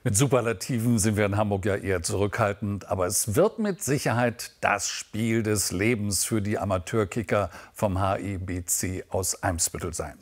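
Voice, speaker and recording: A man's voice: fairly low pitch, normal speed, hardly any pauses. A man presenting regional broadcast news in a scripted style.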